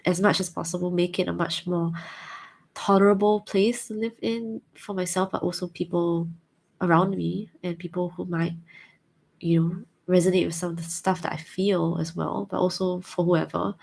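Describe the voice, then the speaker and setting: Woman, twenties, Singaporean accent, lowish voice, vocal fry, hesitant, thoughtful casual chat. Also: level tone, moderate pace, darkish tone. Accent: Singaporean English. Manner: thoughtful, hesitant, many fillers. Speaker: vlogger answering viewer questions.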